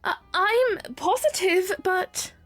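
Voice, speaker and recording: Woman acting a very high-voiced, earnest young princess. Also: fairly bright tone, soft background music, heavily acted. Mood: hesitant, puzzled. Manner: hesitant, halting, polite formal phrasing. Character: earnest, shy young princess, playful and polite. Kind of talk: fan audio drama acting.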